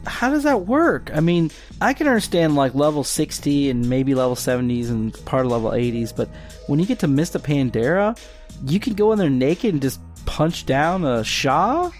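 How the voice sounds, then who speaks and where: A slightly high-voiced man hosting a casual podcast, rambling easily and laughing often. He talks in a laid-back way with faint music under him. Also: very animated, normal speed. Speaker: podcast host. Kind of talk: casual chat.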